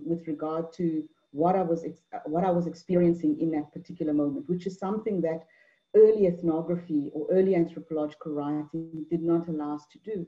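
A middle-aged woman from Mauritius gives an online talk on a video call, measured, with many fillers and repeated words. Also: fairly low pitch. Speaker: anthropologist and poet speaker. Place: indoor room at home. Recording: dull lo-fi video call audio.

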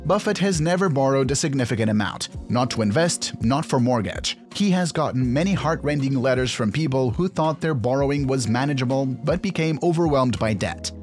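A man reading a scripted explainer narration in a measured, instructional manner. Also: mid-range voice, animated, a little mellow. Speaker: video narrator. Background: background music.

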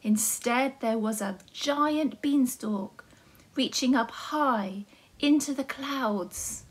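A woman storyteller reading a tale for nursery children. Her voice is fairly high and a little husky, and she goes slowly, with simple and deliberate phrasing. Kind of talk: scripted children's story narration.

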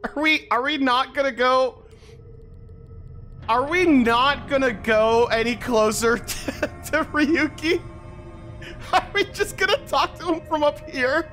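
A streamer giving casual, expressive livestream commentary while playing a game. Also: sits high, takes it slowly. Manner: animated, expressive. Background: game music.

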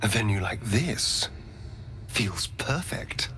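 A man's voice: lowish voice, animated, slow pace, pushed and bright, in a whisper, exaggerated voice. A man voicing a haughty, theatrical vampire rogue in a video game, with arch, precise, dramatic delivery. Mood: wary awe.

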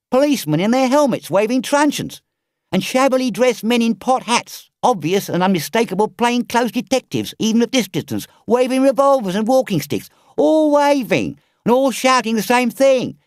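Man doing a firm, soft-hearted storybook train driver; higher than his own voice, lively, dry sound. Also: normal speed, no room sound. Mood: alarmed. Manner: earnest, urgent. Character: gruff but kind-hearted male engine driver.

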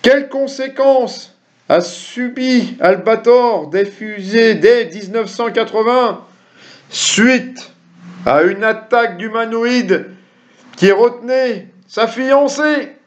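Middle-aged male quiz host, high voice, jokey asides, dull lo-fi webcam recording. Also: slow pace. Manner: jokey, chatty asides to viewers. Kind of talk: reading quiz questions to camera. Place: small room at home. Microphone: webcam or computer mic at desk.

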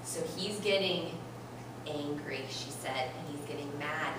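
A young woman teaching an interactive read-aloud to young children, expressive and instructive, stressing key words slowly. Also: sits low. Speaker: primary school teacher. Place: elementary classroom, indoors. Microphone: far camera. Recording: roomy, noisy classroom, distant camera microphone.

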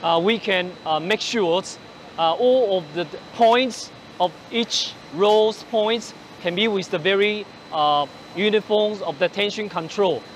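High-voiced man giving a factory tour. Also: a little hiss or hum. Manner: halting, many uh fillers and restarts. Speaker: company presenter on a factory tour. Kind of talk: product walkthrough. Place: large factory floor indoors.

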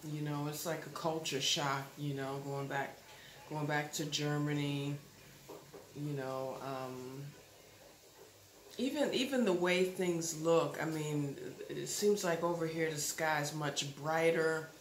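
A woman's voice: low voice, slow pace. A woman in her thirties chatting casually to her camera at home, rambling with lots of fillers and restarts. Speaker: vlogger. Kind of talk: casual vlog chat. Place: living room, indoors. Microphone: camera close up. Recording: roomy echo, audible background noise.

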